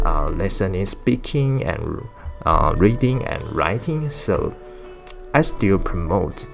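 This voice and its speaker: A man whose first language is Chinese, giving a casual language-learning talk in English, halting with frequent fillers and restarts. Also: sits low, gravelly. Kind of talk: casual talking-head explainer.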